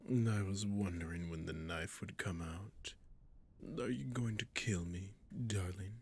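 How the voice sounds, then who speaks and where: Low, breathy, sadistic vampire lord acted by a man. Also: exaggerated voice. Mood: taunting. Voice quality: breathy, husky, often near-whispered. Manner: slow, formal, silky, condescending. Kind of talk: intimate scripted roleplay audio acting.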